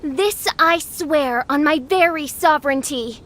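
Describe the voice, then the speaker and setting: A woman's voice: high voice, measured pace, slight background noise. A woman voicing a grandiose young self-styled princess who speaks in archaic, dramatic phrases. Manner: archaic, dramatic, pompous speech. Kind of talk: game cutscene voice acting. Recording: game audio with soft background music.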